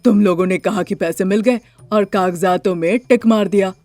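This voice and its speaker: A woman's voice: medium pitch, moderate pace, darkish tone, dry recording, natural voice. A woman voicing a scheming, stingy middle-aged mother-in-law in a story, brazen and bossy, with music behind.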